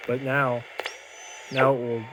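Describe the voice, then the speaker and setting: Man talking casually over loud radio noise. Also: mid-range voice, flat delivery. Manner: informal, halting, thinking aloud. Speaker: radio hobbyist demonstrating a homemade setup. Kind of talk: casual hands-on demonstration. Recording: noisy room with loud radio static. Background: shortwave radio noise and signals.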